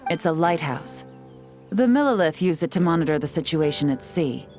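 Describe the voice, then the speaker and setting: A composed female spy with a low voice, sly and self-assured, teasing as she talks through her schemes. Soft game music sits faintly behind her. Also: animated, moderate pace. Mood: explaining. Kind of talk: video game voice acting.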